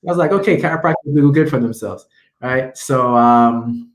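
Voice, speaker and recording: A man's voice: mid-range voice, moderate pace. A young man from Jamaica with a Jamaican accent, a chiropractic student answering interview questions earnestly over a video call from home, with many fillers. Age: young adult. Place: home room, indoors. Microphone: laptop webcam video call. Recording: echoey room on video call.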